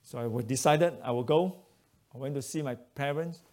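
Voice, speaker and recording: A man's voice: medium pitch, normal speed. Malaysian man preaching in broken English. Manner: broken English, animated storytelling. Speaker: preacher giving testimony. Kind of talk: testimony sermon.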